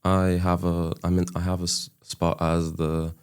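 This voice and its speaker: A man's voice: sits low, monotone, moderate pace, smooth. A young man from Turkey, a student guest, chatting casually and hesitantly with many restarts and fillers. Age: young adult. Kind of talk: casual chat.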